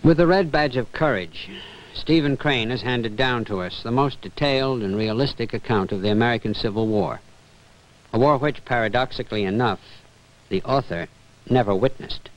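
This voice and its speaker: A man's voice: mid-range voice, normal speed, poor audio. A man delivering formal scripted narration as he introduces literary readings.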